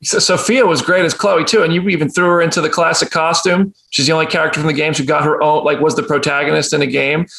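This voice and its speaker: A man with a slightly high voice hosts a casual entertainment interview. He is enthusiastic and conversational, letting his questions ramble. The room adds a clear echo. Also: sharp tone. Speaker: interviewer, entertainment show host. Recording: roomy, echoey sound.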